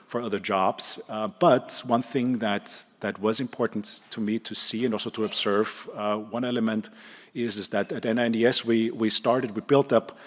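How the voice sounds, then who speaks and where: A middle-aged man with an Austrian accent giving an informal conference presentation, haltingly, with repeated words and many hesitations. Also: fairly low pitch. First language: German. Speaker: conference speaker, neurologist and clinical trialist. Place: conference hall, speaking to an audience. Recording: reverberant hall sound.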